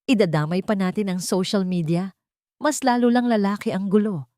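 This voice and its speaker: A woman's voice: medium pitch, normal speed, mellow, no echo, clean studio sound, natural voice. A woman voicing a timid, guilt-ridden young father who pleads hesitantly with his mother in a narrated story. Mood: meek protest.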